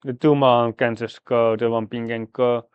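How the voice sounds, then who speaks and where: A man live-streaming a game, thinking aloud through his moves in a halting, fragmented way. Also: mid-range voice, little pitch movement, clean tone, dry recording, dull audio. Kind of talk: live mahjong gameplay commentary, thinking aloud.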